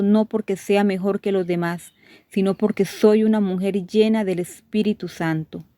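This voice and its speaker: A woman reading a devotional course aloud, sharing testimonies in a steady, measured way with gentle emphasis. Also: mid-range voice, dry recording.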